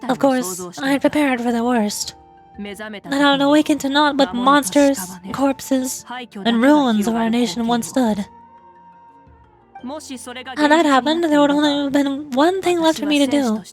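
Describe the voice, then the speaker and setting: A woman voicing a noble, solemn warrior queen, reading game dialogue in an earnest, declamatory and measured way. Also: high voice. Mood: solemn, resolute. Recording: game music faintly behind.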